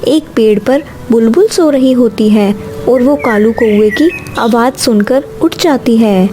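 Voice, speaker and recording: A woman's voice: fairly high pitch, measured pace, dark tone. A woman narrating a scripted children's cartoon story.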